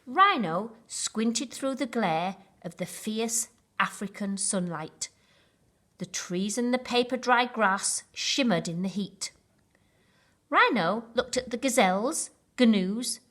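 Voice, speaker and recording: A woman reading a children's bedtime story aloud in an expressive, deliberate storytelling manner. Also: medium pitch. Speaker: school storyteller.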